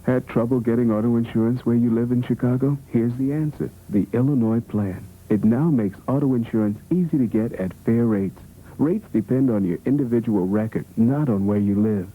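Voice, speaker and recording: A man's voice: mid-range voice, fairly quick, lightly acted. An American man reading a scripted informational commercial as announcer. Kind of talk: scripted public information ad read. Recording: tinny band-limited 1970s broadcast tape.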